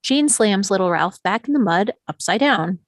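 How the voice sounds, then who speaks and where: A woman's voice: medium pitch, lively intonation, normal speed, dry recording. A woman reading stage directions as scripted narration for a screenplay table read, close to the microphone.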